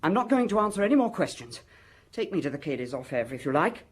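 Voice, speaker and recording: A disillusioned, defiant young character in a radio drama. The voice is husky and moves expressively in pitch, sounding wounded and proud. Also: female voice, big pitch swings, fast talker, full character voice. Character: upset, defiant, disillusioned young letter-writer.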